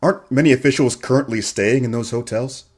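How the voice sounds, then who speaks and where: An actor playing a polite, earnest local radio interviewer in an audio drama, asking questions in a courteous, measured way. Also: male voice, medium pitch, a little mellow, husky, close to an everyday voice. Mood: probing. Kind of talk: radio theater acting, news interview questions.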